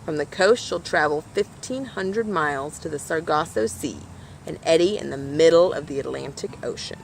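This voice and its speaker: A woman with a slightly low voice reads a picture book aloud for children. She addresses her listeners directly in an explanatory way. There is some ambient noise behind her. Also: expressive, moderate pace, gravelly, rough recording. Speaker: storybook reader for a nature organization.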